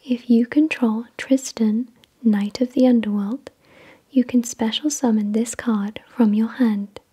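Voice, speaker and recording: Breathy whispering woman, slow and soft. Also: on the high side. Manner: measured, repetitive, gentle reading. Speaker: ASMR host. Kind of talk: whispered ASMR card unboxing, scripted reading.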